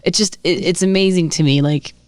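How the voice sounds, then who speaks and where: Podcast host, smooth and resonant voice, wry and articulate; dry close-mic sound. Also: medium pitch, a little fast, no echo. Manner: articulate and wry, with laughing asides. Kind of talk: casual podcast interview.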